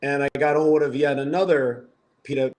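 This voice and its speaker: A man's voice: fairly high pitch, fairly even intonation, brisk, a little mellow. A man in his fifties from the Philadelphia area, a podcast guest telling stories with wry, self-mocking humor. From Philadelphia area, USA. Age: 50s. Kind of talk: casual podcast conversation.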